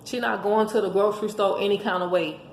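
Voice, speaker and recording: A hoarse woman giving an emphatic, preachy motivational monologue, speaking as a host handing out relationship advice to listeners. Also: medium pitch, fairly even intonation, fast talker. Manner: emphatic, preachy, rhetorical questions. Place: indoors at home. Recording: echoey room with faint background noise.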